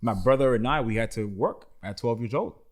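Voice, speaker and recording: A man's voice: medium pitch, brisk. A man in his twenties, from the Dominican Republic, telling his life story in an earnest, slangy way as a podcast guest. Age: 20s. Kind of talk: casual interview chat.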